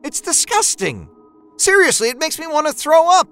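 A man voicing an exasperated, scornful wife who scolds in a sharp, incredulous manner. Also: very high-pitched, huge pitch swings, moderate pace, soft background music, pitched above the performer's own voice, exaggerated voice. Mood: angry scorn.

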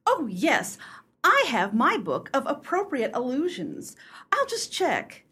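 A woman's voice: high-pitched, huge pitch swings, slow pace, pushed and bright, heavily acted. A woman acting an eager, gossipy and silly book club lady in a radio play, gushing and conspiratorial.

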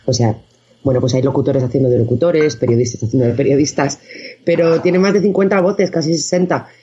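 A woman's voice: sits low, fairly quick, warm tone, breathy edge. A woman from chatting casually as an interview guest. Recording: dull, band-limited sound.

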